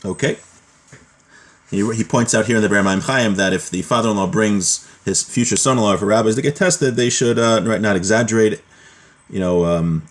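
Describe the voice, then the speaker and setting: Man teaching a religious law class. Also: fairly low pitch. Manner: explanatory, conversational, often checking with "right?". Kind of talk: informal lecture, reading Hebrew text aloud and explaining it.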